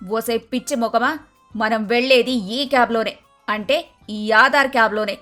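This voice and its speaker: A high-pitched, brusque mother-in-law from a cartoon moral tale, played by a woman. She scolds and orders people about with emphasis. Faint music sits under the voice. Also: steady tone, moderate pace, sharp tone. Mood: matter-of-fact. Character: bossy scolding middle-aged Telugu mother-in-law. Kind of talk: dramatised moral story voice acting.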